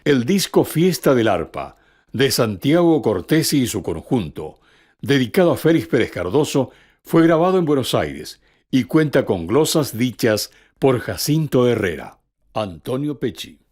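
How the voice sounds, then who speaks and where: Male narrator, scripted documentary delivery, very varied intonation, mid-range pitch. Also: big pitch swings, a little slow, a little mellow.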